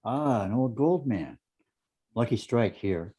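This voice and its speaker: A man playing an inquisitive, smooth ad man in an amateur table read of a TV drama, conversational and probing. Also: mid-range voice, expressive, slow pace, dark tone. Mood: curious, casual.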